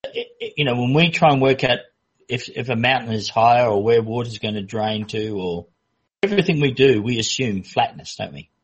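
Husky-voiced man in casual discussion. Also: medium pitch, expressive. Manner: measured, stammering restarts. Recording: muffled lo-fi recording.

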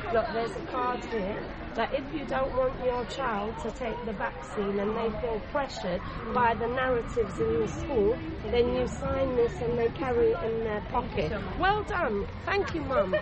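A woman's voice: a little high, measured pace, poor audio. A woman, earnestly explaining things to parents outdoors on a busy street. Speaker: street campaigner. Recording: loud crowd and traffic behind.

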